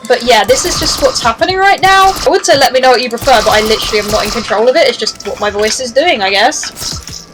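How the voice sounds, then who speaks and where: A woman's voice: on the high side, fast talker. Chatty woman gamer over game music. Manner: chatty, excitable, swears casually. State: voice lower than usual from heavy streaming. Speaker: gaming streamer. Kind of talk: casual gameplay commentary.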